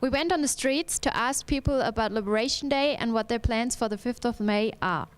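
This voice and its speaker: A woman's voice: on the high side, a little fast, clear, resonant tone. A young woman, a field reporter speaking to camera outdoors on a handheld mic. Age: young adult. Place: outdoors on grassy hill.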